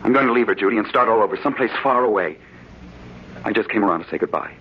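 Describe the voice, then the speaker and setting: An actor with an American accent playing a brooding, smooth-talking, scheming husband in a 1940s-style radio drama. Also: male voice, lowish voice, animated, very fast. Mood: earnest, determined. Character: brooding, smooth-talking husband secretly plotting against his wife. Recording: muffled, noisy old radio broadcast recording.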